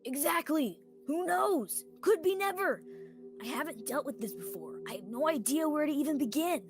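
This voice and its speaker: A young woman playing an anxious male small-town sheriff trying to seem calm, acted in radio theatre style. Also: high voice, moderate pace, music in the background. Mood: flustered. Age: young adult.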